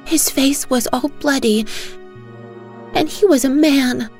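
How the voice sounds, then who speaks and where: A woman voicing a terrified little girl who cries and pleads in a high, trembling voice. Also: takes it slowly, a little mellow, soft background music. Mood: frightened.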